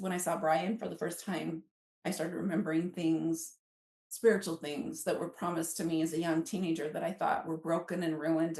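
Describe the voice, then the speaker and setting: A woman's voice: fairly low pitch, darkish tone. An American woman in her fifties telling personal stories as an interview guest in an effusive, conversational way. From Alaska, United States. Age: 50s. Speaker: podcast guest. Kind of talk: casual interview storytelling. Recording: roomy, echoey sound.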